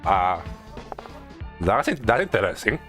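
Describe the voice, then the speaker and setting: A man giving casual video game commentary as a streamer, haltingly thinking aloud and often repeating himself. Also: on the low side, sing-song, a bit bright, soft background music.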